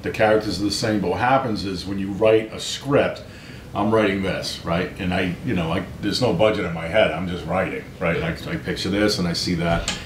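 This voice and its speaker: Deep-voiced American man telling casual stories. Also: steady tone, brisk. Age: middle-aged. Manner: self-deprecating, chatty, peppers talk with 'right?' and 'you know'. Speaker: author interviewed as guest. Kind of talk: casual anecdotal storytelling in an interview. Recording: roomy echo, low rumbling background noise.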